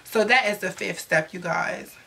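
A woman's voice: fairly low pitch, moderate pace, creaky, sharp tone, a little hiss or hum. A young woman, giving slangy, chatty advice to her viewers in a casual vlog, recorded indoors close to the camera. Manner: chatty, sassy, slangy, addresses viewers as sis. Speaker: vlogger. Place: indoors in front of a glittery backdrop. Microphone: camera at close range.

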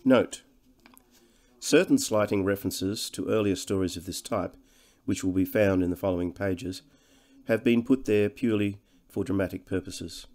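Male audiobook reader, deep register, deliberate and dramatic delivery of literary prose. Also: a little mellow. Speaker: audiobook narrator. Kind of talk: scripted literary narration.

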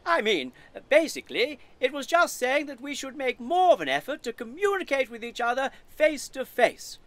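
An earnest British male neighbour acted by a woman in an audio comedy play. The voice is high and very animated in pitch. He is polite, mild-mannered and a little nosy. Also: normal speed, no room sound.

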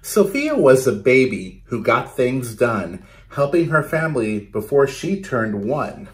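A man in his thirties reading a picture book aloud to children, pausing to ask questions and explain, recorded in a small room. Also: mid-range voice, big pitch swings. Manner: instructive, pausing to question and explain to young listeners. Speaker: children's storytime reader. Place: small bedroom, indoors.

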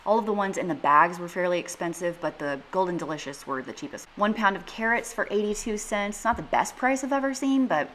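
A woman's voice: mid-range voice, animated, fairly quick, hardly any pauses, fairly bright tone, low-quality recording. Woman in her 30s chatting to camera from her couch, earnest, practical, walks through prices, faint background hum. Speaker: budget cooking vlogger. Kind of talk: casual vlog talk to camera. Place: living room, sitting on a couch.

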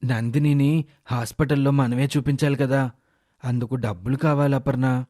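A man voicing a caring middle-aged father and husband, earnest and reasoning, in an animated moral story. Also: mid-range voice, moderate pace, close to an everyday voice. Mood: pleading.

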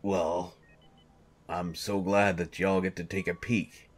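A man's voice: low voice, flat delivery, slow pace, slightly rough, exaggerated voice. A man playing a grumpy, irritable coach who grumbles and complains defensively in an audio drama. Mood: uneasy. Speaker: voice actor.

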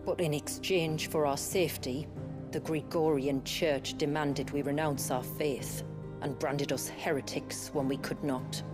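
A grave village woman tells her people's tragic history in a video game drama. Her voice is low, and her delivery is measured, formal and earnest over faint music. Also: steady tone. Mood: solemn. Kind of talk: solemn scripted storytelling in video game drama.

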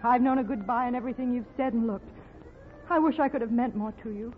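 A woman's voice: fairly high pitch, steady tone, fast talker, slight background noise, natural voice. An actress playing a devoted, pleading young woman in love, earnest and melodramatic in old radio drama style. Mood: anxious, pleading. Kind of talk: 1940s radio drama acting. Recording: tinny old radio recording with music.

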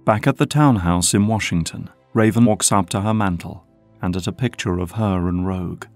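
A man's voice: deep voice, moderate pace, no echo, soft background music. A man reading scripted narration for an audio drama.

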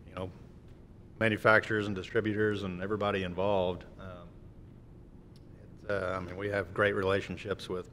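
A man on a conference panel, speaking hesitantly with lots of fillers. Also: fairly bright tone. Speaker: contractor panelist. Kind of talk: panel discussion. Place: conference hall stage panel. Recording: reverberant conference hall, faint rumble.

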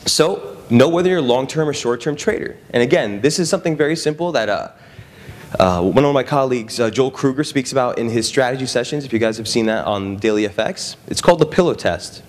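A man's voice: medium pitch, lively intonation, a little fast. A young man presenting a trading seminar to a live audience, conversational, often checking in with the room. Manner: conversational, frequent "right?" and "okay?" check-ins with the audience. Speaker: trading strategist presenting at an expo.

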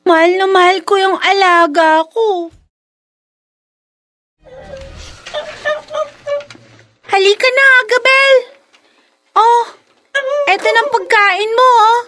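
A woman's voice: extremely high pitch, fairly even intonation, measured pace, sharp tone, slight background noise, heavily acted. A woman playing a tender ten-year-old girl devoted to her dog in a Filipino radio drama. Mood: fond, wistful.

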